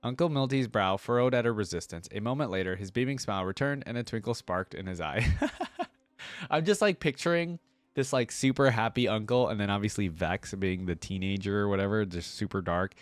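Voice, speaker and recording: A man's voice: lowish voice, animated, brisk, no echo. A man reading a story aloud as a video host and reacting to it with informal, casual commentary.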